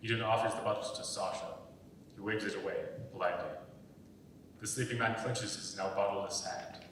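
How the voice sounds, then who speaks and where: A man's voice: fairly low pitch, fairly even intonation, brisk, a bit bright. A man reading stage directions as scripted narration, heard from far away in an echoey hall. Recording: echoey hall, far from mic, faint noise.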